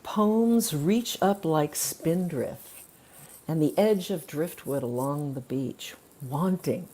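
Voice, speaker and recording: A woman reciting poetry in a spoken-word performance, with measured, deliberate phrasing and long pauses. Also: sits low, dark tone, slight background noise. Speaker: poetry reader.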